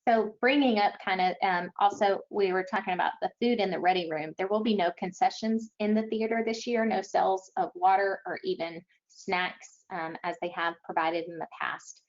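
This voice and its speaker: A woman with a medium-pitched voice explains event procedures as an organizer on a webinar. She is a little hesitant and corrects herself along the way. The audio is dull and lo-fi. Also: fairly even intonation, normal speed, hardly any pauses. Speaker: event organizer presenting rules. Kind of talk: informational webinar briefing.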